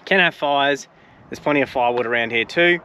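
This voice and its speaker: A man's voice: mid-range voice, brisk, bright, forceful tone, slight background noise. Laid-back Australian man vlogging outdoors. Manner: laid-back, rambling, practical. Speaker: travel vlogger. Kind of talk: casual vlog narration. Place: outdoors by a highway and camp.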